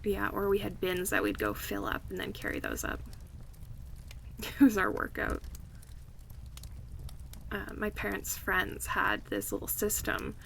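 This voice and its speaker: A woman playing an affectionate, chatty friend in a casual, intimate ASMR roleplay, speaking softly and in a relaxed way. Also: medium pitch, measured pace, creaky, heavily acted, low-quality recording. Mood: fondly reminiscing. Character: affectionate young woman, cozy longtime friend hosting a night in. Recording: faint background ambience noise.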